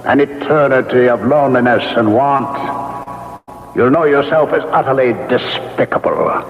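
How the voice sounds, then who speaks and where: An actor playing a grave, moralizing ghost of a man in a classic radio mystery, speaking in measured, formal, sermonizing phrases. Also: medium pitch, lively intonation, lightly acted. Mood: solemn, condemning. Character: male ghost, century dead, grave, moralizing, solemn. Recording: echoey, noisy, tinny old radio broadcast.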